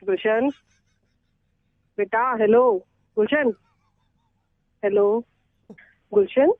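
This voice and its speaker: A woman's voice: fairly high pitch, drawn-out words, dry recording. A woman receiving a prank call, speaking in conversation over a thin telephone line. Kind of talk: phone conversation.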